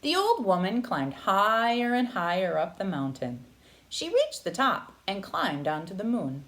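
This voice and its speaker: A woman narrating a children's picture book aloud with expressive, dramatic phrasing. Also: medium pitch, a little slow. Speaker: read-aloud storyteller.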